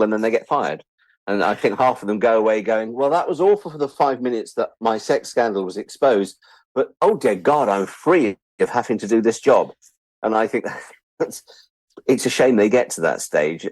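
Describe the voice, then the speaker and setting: A man's voice: lowish voice, a little mellow, dry recording, poor audio. A middle-aged English man chatting reflectively as an interview guest, wry and self-deprecating. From England. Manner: wry, self-deprecating, acts out imagined quotes. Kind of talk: reflective casual chat.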